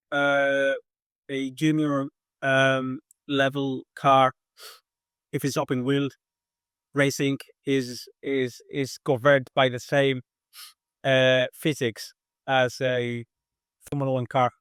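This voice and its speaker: A Spanish-accented man speaks in a smooth, resonant voice that stays fairly flat and even. He answers slowly and thoughtfully, pausing often. The sound is close and dry, with no room echo. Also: medium pitch. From southern Spain. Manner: measured, thoughtful, with many hesitations. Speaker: aerodynamics engineer, guest. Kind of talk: interview answers.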